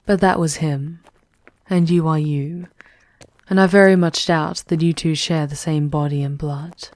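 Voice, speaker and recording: Lady doing a demon queen for asmr roleplay, really slow, soft mellow voice, formal and world-weary, very dry close sound. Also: low-pitched, fairly even intonation, no room sound. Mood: earnest, solemn. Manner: measured, formal, dropping into breathy whispers. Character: regal, world-weary demon queen, once human, guiding a wanderer.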